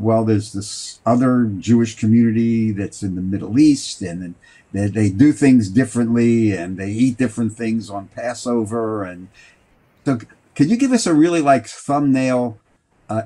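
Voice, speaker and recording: A man hosting an interview in a conversational, somewhat halting and roundabout way. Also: fairly low pitch, expressive, warm tone. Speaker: talk show host, interviewer.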